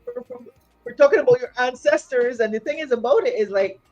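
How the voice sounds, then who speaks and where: A woman from Barbados, a singer and entrepreneur, talking in an animated, casual chat full of "you know". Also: high voice, brisk, lo-fi sound, faint music behind.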